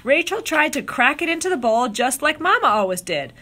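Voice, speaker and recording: A bright, high female voice reads a children's storybook aloud. Her delivery swings widely in pitch and stretches phrases for drama. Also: slight background noise. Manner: expressive, drawn-out dramatic phrasing. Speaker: storybook reader. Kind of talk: animated read-aloud of children's picture book.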